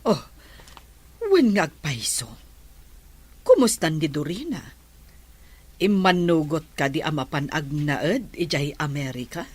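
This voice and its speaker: A woman playing a calm, advising middle-aged friend in a drama, with a Filipino accent. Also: low-pitched, unhurried, pauses often, breathy edge, no echo, full character voice. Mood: friendly, inquiring. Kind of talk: Ilocano radio drama acting.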